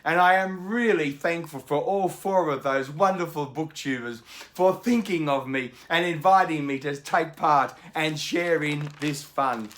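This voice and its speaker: A man hosting a book channel, speaking off the cuff from home in a chatty, courteous way. His voice sits fairly high for a man and is a little croaky, with frequent ums. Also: unhurried, fairly bright tone. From Australia. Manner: chatty and polite, many ums and small laughs. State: slightly croaky, coughing. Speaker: BookTube host. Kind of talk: unscripted casual chat to camera. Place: home indoors in the evening.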